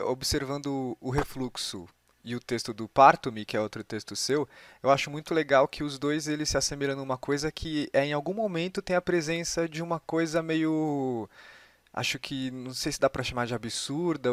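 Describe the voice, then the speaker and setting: A man's voice: on the high side, moderate pace, a bit bright, dry recording. A man with a Brazilian Portuguese accent hosting a casual, thoughtful interview, offering observations tentatively as he works out his wording. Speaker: podcast host, interviewer.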